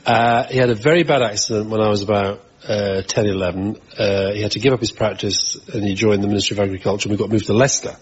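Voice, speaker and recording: Middle-aged English man, husky, dry delivery, muffled radio studio. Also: lowish voice, fairly even intonation, fast, few pauses. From England. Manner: dry, matter-of-fact. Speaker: guest. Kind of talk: interview answers. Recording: slightly dull radio studio sound.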